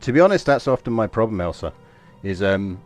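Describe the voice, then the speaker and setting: English guy streaming a game, really low voice, rambly and chatty. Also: very animated, brisk, soft background music. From England. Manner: rambling, chatty, self-deprecating. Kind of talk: casual live gameplay commentary.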